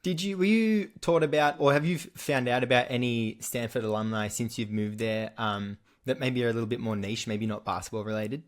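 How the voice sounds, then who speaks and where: A young Australian man hosting a casual sports interview, talking in a relaxed, chatty way with lots of fillers and restarts. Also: mid-range voice, a little fast, few pauses. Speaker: podcast host.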